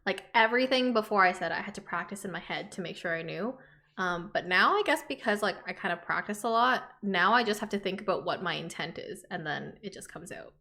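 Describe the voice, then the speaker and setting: A woman's voice: medium pitch, animated, a little fast, gravelly, sharp tone. A young adult woman chatting informally as a podcast co-host. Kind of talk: casual chat.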